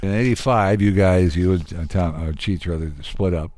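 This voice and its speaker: A man's voice: sits low, moderate pace, a little mellow. A man hosting a radio interview in a conversational broadcast style, putting questions to a guest.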